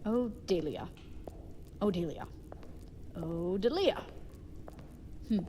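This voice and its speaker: Audio drama knight female actor: gallant, simple-minded, sincere, formal old-fashioned diction, mid-pitched, echoing hall sound. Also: expressive, takes it slowly, mellow, a little hiss or hum. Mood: earnest, fond. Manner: formal, stilted, uncontracted, chivalrous speech. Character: earnest, dim-witted, gallant young knight.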